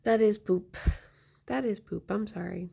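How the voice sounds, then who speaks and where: A woman chats loosely with her live-stream audience, wandering between thoughts and laughing often. Her voice carries a gravelly fry, and her pitch sits in a middle range. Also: a little slow, warm tone. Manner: chatty and rambling, laughs often. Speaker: streamer reading chat. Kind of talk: casual live-stream chat.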